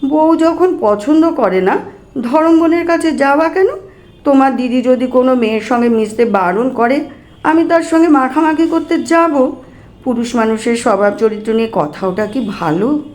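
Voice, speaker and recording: A woman voicing a fussy, teasing househusband and father in an audio story, with a brisk, chiding, familiar manner. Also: high-pitched, a little mellow, lightly acted. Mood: reproving.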